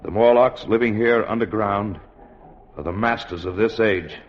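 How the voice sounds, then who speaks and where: Actor as commanding scientist, muffled old radio. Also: male voice, lowish voice, moderate pace, close to an everyday voice. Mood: grave. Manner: urgent, declamatory, authoritative. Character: resolute, commanding male scientist leading an expedition. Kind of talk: 1950s radio drama acting.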